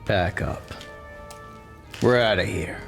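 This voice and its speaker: Gravelly, indignant American lieutenant. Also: male voice, low-pitched, takes it slowly, a bit bright, soft background music, exaggerated voice. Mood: curt. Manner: confrontational, clipped, sarcastic. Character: gruff, indignant American army lieutenant who obeys orders. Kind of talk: video game cutscene acting.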